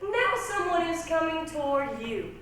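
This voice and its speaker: A woman's voice: very high-pitched, very animated, a little slow, fairly bright tone, exaggerated voice. A woman performing readers' theatre, playing a solemn fortune teller and chorus narrator with theatrical, incantatory delivery. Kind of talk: readers' theatre stage recitation. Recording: very echoey stage, distant mic, faint noise.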